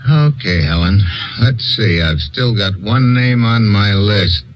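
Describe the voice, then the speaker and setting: An actor playing a wry, confident agent with a dry, wisecracking manner in a 1950s radio drama. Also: male voice, on the low side, slow pace, noisy background. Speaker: investigator and narrator. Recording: dull old radio recording with music.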